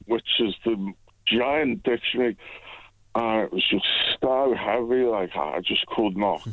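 Rambling Scouse caller on a tinny phone line. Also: male voice, on the low side, unhurried, no echo. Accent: Liverpool. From Wales. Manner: rambling, chatty, laughs a lot.

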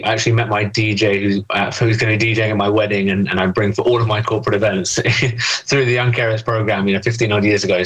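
A man's voice: on the low side, fairly bright tone, low-quality recording. A young man answering interview questions thoughtfully, with many fillers and restarts. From England. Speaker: interview guest.

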